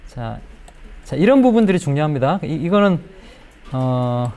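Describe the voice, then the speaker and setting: Male tutorial presenter, middle-aged, voice a bit high, explains stepwise and checks in with questions. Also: very animated, a little slow, mellow. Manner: explanatory, step by step, often checking with rhetorical questions. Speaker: video editing instructor. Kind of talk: spoken screen-recorded software tutorial.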